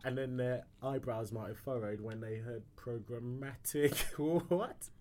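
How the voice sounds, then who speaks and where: A man's voice: medium pitch, a little slow, darkish tone, slight background noise. A man hosting a podcast, asking questions in a chatty, informal way. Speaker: podcast host. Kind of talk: casual interview.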